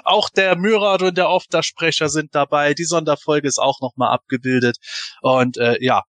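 A man's voice: on the high side, steady tone, normal speed, a bit bright, no echo. A man chatting casually as a panelist on a fan podcast.

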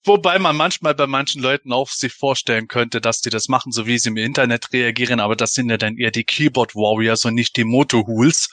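A man's voice: mid-range voice, fairly even intonation, a little fast, hardly any pauses, fairly bright tone, no echo. A man hosting a casual live fan podcast in a chatty, bantering way. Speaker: podcast host.

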